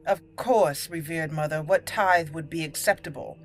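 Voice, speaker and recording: A woman's voice: low-pitched, normal speed. A woman hosting a gameplay video, speaking her character's lines aloud and adding her own commentary. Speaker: gameplay host. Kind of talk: let's play commentary with in-character roleplay. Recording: music behind the voice. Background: game music.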